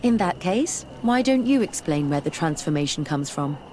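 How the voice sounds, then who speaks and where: A woman's voice: low voice, lively intonation, moderate pace, darkish tone, a little hiss or hum. A woman acting a curious, determined, skeptical scholar in a video game, speaking thoughtfully and articulately.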